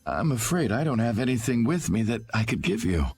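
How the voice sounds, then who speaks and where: A man voicing a polite, anxious, flustered father in a video game, speaking haltingly. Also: medium pitch, sing-song, darkish tone, lightly acted. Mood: grateful. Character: grateful, flustered father stranded in desert. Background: background music.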